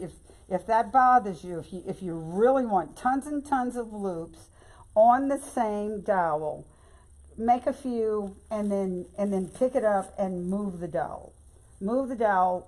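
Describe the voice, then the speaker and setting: An older woman with a hoarse voice and a Louisiana Southern accent, chatting folksily through a bow-making tutorial. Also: medium pitch, darkish tone. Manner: chatty and folksy, keeps saying y'all. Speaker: craft tutorial host. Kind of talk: casual bow-making demonstration.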